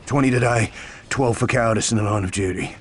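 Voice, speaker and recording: A man's voice: medium pitch, fast talker, breathy edge, close to an everyday voice. A man playing a dutiful, formal commissar in a video game, giving deferential military reports. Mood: formal, grim. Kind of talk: dramatic video game cutscene acting.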